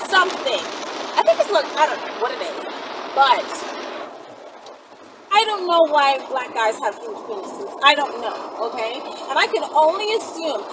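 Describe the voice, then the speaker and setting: Woman vlogger, high voice, candid rambling chat, recorded at a distance with clear background noise. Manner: rambling, playful, slangy. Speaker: vlogger answering viewer questions. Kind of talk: casual, chatty, candid monologue to viewers. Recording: noisy, distant mic.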